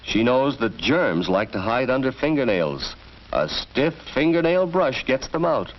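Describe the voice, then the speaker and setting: American man, lively 1940s educational film narration. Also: mid-range voice, a little slow. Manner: instructive, storytelling delivery. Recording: dull vintage film soundtrack, faint noise.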